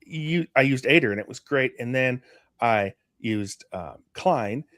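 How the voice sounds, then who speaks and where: A man from Canada speaking as an interview guest, casual and halting, often restarting his phrases. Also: mid-range voice, lively intonation, a bit bright, dry recording, studio quality. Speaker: interview guest, community manager.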